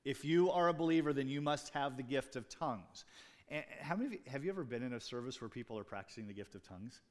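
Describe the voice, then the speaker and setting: A man's voice: mid-range voice, lively intonation, quick pace. A man preaching a sermon as pastor, talking conversationally with asides and jokes to his congregation. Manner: restarts and fillers, speaking off the cuff.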